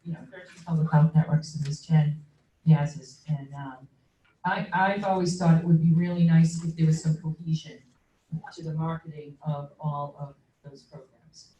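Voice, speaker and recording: A woman with a very dark, mellow tone makes a halting comment full of restarts. She is distant from the mic in an echoey room. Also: low voice, steady tone. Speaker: meeting participant with a sales background. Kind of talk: comment in a group discussion.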